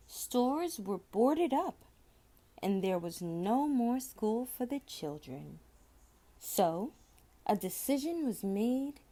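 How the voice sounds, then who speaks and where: A woman reading a children's story aloud, with deliberate, expressive phrasing and drawn-out words. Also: mid-range voice. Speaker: storybook reader.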